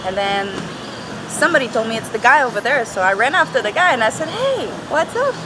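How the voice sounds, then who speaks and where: A woman's voice: on the high side, big pitch swings, brisk. A woman in her thirties, a guest telling stories animatedly with frequent laughs on an outdoor patio. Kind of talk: casual storytelling. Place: outdoor restaurant patio under a thatched roof. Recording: outdoor patio with traffic noise. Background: street and traffic noise.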